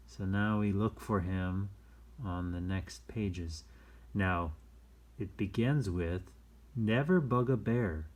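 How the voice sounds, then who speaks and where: Slow, low-voiced man chatting through storytime. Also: a little mellow, husky. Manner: easygoing, rambling, explanatory asides. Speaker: storytime reader and host. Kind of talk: casual read-aloud with chatty asides.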